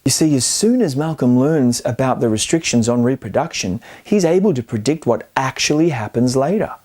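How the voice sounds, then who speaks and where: Male film-essay host, mid-range and mellow, scripted but colloquial delivery with playful emphasis. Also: medium pitch, expressive, normal speed. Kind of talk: scripted video-essay narration.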